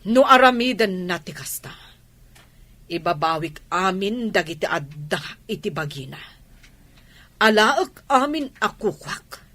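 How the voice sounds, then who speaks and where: A stern, scheming woman of Filipino radio drama. Her mid-pitched voice is husky and breathy, with a threatening manner. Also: sing-song, measured pace, fairly bright tone.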